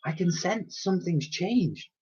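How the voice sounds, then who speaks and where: A man's voice: high-pitched, lively intonation, a little slow, a little mellow, slightly rough, lo-fi sound, voice pushed up, exaggerated voice. A man voicing a brave young girl in a storybook adventure, exclaiming in a startled, breathless way. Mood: hushed wonder.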